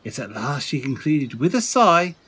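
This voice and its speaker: Animated, witty prince. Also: male voice, mid-range voice, normal speed, slightly rough. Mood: teasing. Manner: theatrical, expressive, playful emphasis. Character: urbane prince, witty, teasing and commanding. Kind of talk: Shakespearean radio play acting. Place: home recording.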